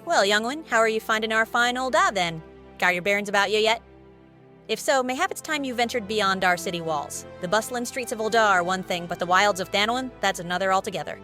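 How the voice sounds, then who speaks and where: An actress playing a brisk, plain-spoken innkeeper with a British accent and a frank, chatty manner in a video game. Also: female voice, medium pitch, expressive, sharp tone, faint music behind. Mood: welcoming, businesslike. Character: brisk, plain-spoken woman innkeeper running an adventurers' guild. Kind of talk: scripted video game cutscene acting.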